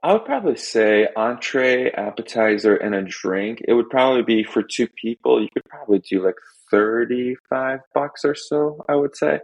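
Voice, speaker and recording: Russian-speaking man, deep gravelly voice, dry close sound, relaxed rambling guest. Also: moderate pace, few pauses, no room sound, rough recording. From Estonia. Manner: rambling, many fillers and restarts. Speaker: podcast guest. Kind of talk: casual interview chat.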